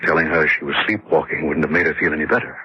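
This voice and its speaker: A man's voice: extremely low pitch, fast talker, pushed and bright, a little hiss or hum, full character voice. An actor playing a defensive, rationalizing husband who tells his story in dramatic radio horror style. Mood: uneasy, confiding. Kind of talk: dramatic first-person radio horror narration and dialogue. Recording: muffled old-time radio drama recording.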